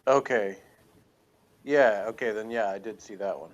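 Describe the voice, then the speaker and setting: A man in a casual, halting conversation as co-host of a review show. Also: fairly low pitch. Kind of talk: casual chat. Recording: muffled lo-fi audio with faint noise.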